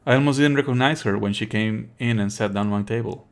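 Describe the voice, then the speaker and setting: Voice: medium pitch, fast talker. A streamer giving wry, sweary, casual commentary on a game and reading its narration aloud in a live let's play.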